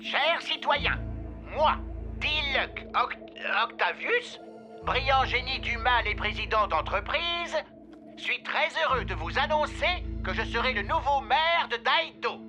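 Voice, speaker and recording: Vain dimwit cartoon bad guy, swoopy voice, slow talker, music behind. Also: extremely high pitch, expressive, sharp tone, exaggerated voice. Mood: pompous. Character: vain, dim male villain made company president, would-be mayor. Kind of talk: French-dubbed cartoon acting.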